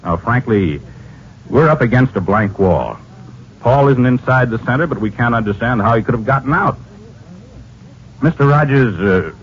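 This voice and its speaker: An American actor playing a grave, formal government agent in an old-time radio drama. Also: male voice, on the low side, close to an everyday voice. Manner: formal, measured, official. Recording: muffled, noisy old radio recording.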